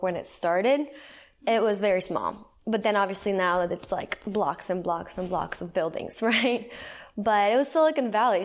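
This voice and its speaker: Woman, 30s, raised in El Salvador; earnest, conversational testimony, mid pitch. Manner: earnest and conversational, with many fillers. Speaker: guest sharing her testimony. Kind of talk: personal faith testimony.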